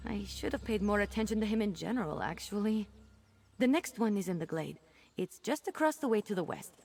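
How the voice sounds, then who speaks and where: Young mage apprentice, expressive, over music. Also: female voice, medium pitch, a little fast, vocal fry. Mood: brisk, determined. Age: young adult. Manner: expressive, chatty, mildly exasperated. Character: earnest, self-blaming young female mage apprentice. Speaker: quest-giving companion character. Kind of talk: video game voice acting. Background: game music.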